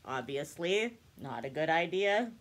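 A woman's voice: low-pitched, big pitch swings, a little slow. A young woman chatting casually to camera in a small room, stuffed up with a cold, rambling and laughing often. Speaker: book and craft vlogger. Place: small room indoors, seated before a cabinet.

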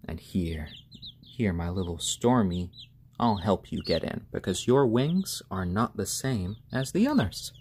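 A man with a fairly low, slightly hoarse voice chats casually on a home live stream. His pitch moves around a great deal as he drifts between halting explanation and gentle pet talk. There is clear noise behind him, with birds sounding. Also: lively intonation. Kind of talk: casual chat on a live stream, with cooing to pets. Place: room in a home, indoors.

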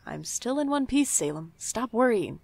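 A woman's voice: on the high side, very animated, moderate pace, gravelly, a little mellow, exaggerated voice. A woman playing a sardonic young night-shift worker in an audio drama, with a dry, casual delivery. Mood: defensive. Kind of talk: audio drama acting and first-person narration.

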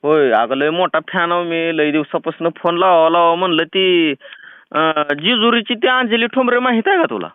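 A man with a notably high, smooth voice and a rural Maharashtrian accent. He talks in a chatty, persistent, colloquial way, like a prank caller teasing someone. The sound is thin and telephone-like. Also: normal speed, dry recording. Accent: rural Maharashtrian Marathi. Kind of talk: casual teasing phone chat. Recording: tinny, phone-like band-limited sound.

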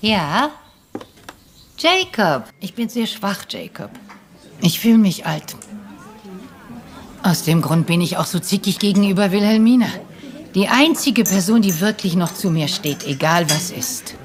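A woman's voice: mid-range voice, lively intonation, measured pace, pauses often, close to an everyday voice. An actress playing a frail, wealthy old lady in a crime radio play, wry and theatrical, moving between weary and pointed. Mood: weary, confiding.

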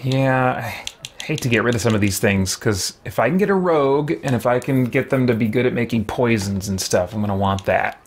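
A middle-aged man giving casual gameplay commentary, thinking aloud as he deliberates over his choices. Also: mid-range voice, slight background noise. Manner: musing, deliberating out loud. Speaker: video game streamer. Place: indoor room with shelves.

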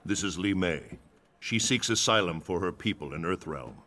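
Authoritative, grave guardian for a fantasy game: deep, formal, bright-edged delivery. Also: male voice, lively intonation, moderate pace, full character voice. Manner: formal, commanding. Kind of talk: video game cutscene acting.

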